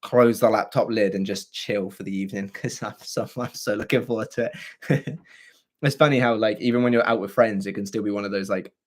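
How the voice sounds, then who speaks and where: A hoarse young man with a scratchy, throaty voice, hosting a livestream and chatting casually with viewers. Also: lowish voice, steady tone, brisk. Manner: chatty and rambling, with frequent fillers and throat clearing. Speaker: livestream host. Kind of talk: casual livestream chat answering viewer comments.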